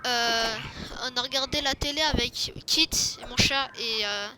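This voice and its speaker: A woman's voice: fairly high pitch, normal speed. A woman telling her character's backstory during a game roleplay, hesitating often with fillers and restarts. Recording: loud background noise.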